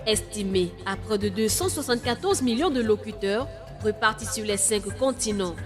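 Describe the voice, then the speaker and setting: Woman reading a radio promo, middle pitch, declaiming with echoed words, loud music bed behind. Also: expressive, moderate pace. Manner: smooth, declaiming, echoing words for effect. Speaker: station announcer. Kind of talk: scripted promo narration.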